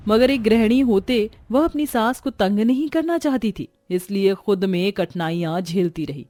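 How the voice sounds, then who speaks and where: A woman's voice: medium pitch, darkish tone, dry recording. A woman reading scripted storybook narration of a moral tale.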